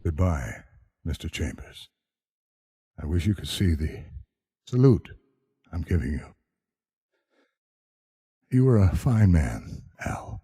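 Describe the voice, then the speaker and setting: A man acting a calm, solemn afterlife agent in an audio play, measured, gentle and matter-of-fact. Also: deep voice, slightly rough, no echo, full character voice.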